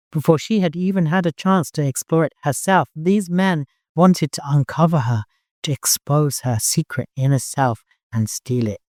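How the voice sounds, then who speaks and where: A man narrating fiction as an audiobook, in an expressive, dramatic storytelling manner. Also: a little high, no room sound, studio quality.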